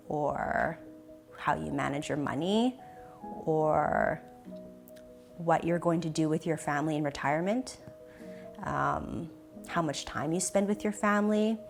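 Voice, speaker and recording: A young woman from Canada with a Canadian accent, speaking reflectively in an interview, thoughtful and hesitant, often pausing and restarting. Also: sits low, gravelly, faint music behind. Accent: Canadian English.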